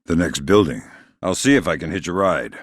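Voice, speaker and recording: Very deep-voiced deadpan veteran covert operative. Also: male voice, brisk, no room sound, clean studio sound. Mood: focused, terse. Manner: deadpan, sardonic, quietly menacing. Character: gruff, dry-witted veteran male covert operative. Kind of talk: video game voice acting, isolated dialogue lines.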